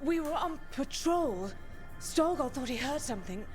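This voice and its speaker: High-voiced dazed dwarf woman. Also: lively intonation, normal speed, a little mellow, faint music behind. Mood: haunted. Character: weakened, frightened female dwarf patrol survivor, dazed. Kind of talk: video game dialogue.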